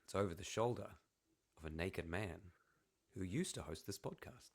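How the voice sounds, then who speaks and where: A man co-hosting a podcast in casual chat, hoarse from losing his voice, wry and blunt. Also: low-pitched, animated, normal speed. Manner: wry, blunt, self-deprecating. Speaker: podcast co-host.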